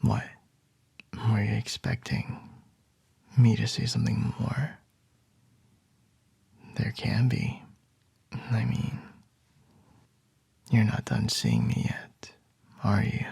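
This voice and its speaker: Man, ASMR roleplay boss, unhurried teasing whisper, heavy fry, breathy. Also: fairly low pitch, lively intonation, darkish tone, dry recording. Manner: slow, teasing, intimate whispering.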